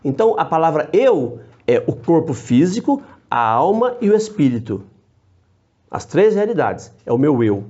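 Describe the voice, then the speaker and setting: Brief: male priest, fairly high but mellow voice, patient teacher, dull lo-fi sound. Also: big pitch swings. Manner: measured, explanatory, short phrases with frequent tag questions. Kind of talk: theology teaching to camera. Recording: dull lo-fi recording.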